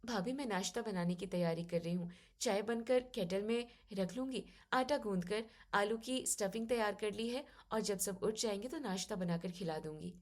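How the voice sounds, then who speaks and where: A young, educated daughter-in-law in a family drama story, played by a woman. She speaks softly and politely, yet reasons firmly and with quiet determination. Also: mid-range voice, moderate pace, hardly any pauses, close to an everyday voice. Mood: earnest. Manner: polite but firm and reasoning.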